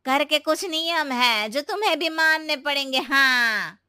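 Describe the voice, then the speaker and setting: A woman's voice: high-pitched, lively intonation, no echo, clean studio sound, exaggerated voice. Piercing, scolding mother-in-law played by a woman. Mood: scolding, taunting. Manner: sharp, nagging, sarcastic, drawn-out taunts. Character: domineering, scolding older Indian mother-in-law, village matriarch. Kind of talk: Hindi cartoon story dubbing.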